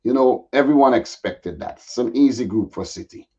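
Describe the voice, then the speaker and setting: Slightly raspy male football pundit, dull audio. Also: medium pitch, moderate pace, mellow. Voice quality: a little raspy. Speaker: guest football pundit, Manchester City fan. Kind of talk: casual chat.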